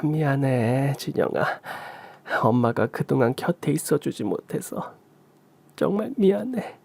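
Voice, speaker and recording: A man voicing a middle-aged birth mother who talks softly and apologetically. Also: medium pitch, very animated, measured pace, creaky, exaggerated voice. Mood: apologetic. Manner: soft, apologetic storytelling.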